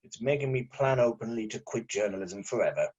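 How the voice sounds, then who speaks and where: A man's voice: on the low side, animated, fairly quick, darkish tone, breathy edge, dull audio. A man reading a weary, bitterly sarcastic journalist in an amateur script read. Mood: exasperated. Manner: exasperated, sarcastic.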